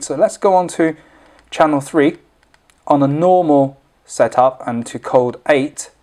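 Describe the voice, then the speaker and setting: A man's voice: medium pitch, a little mellow. A man presenting an informal, unscripted product tutorial, explaining things step by step as he demonstrates.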